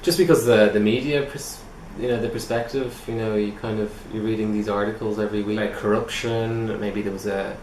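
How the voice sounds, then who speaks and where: A man's voice: lowish voice, fairly even intonation, fairly quick, few pauses, a little mellow. A man from Ireland, an English teacher giving hesitant interview answers with many restarts. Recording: echoey room with faint noise.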